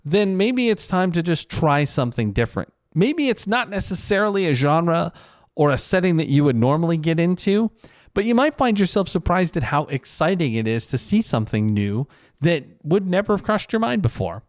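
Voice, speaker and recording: A man's voice: a little high, big pitch swings, moderate pace, no echo, clean studio sound. A man talking casually and reflectively on his own, in a conversational, rambling, self-deprecating way, like a vlogger. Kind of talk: casual reflective solo commentary.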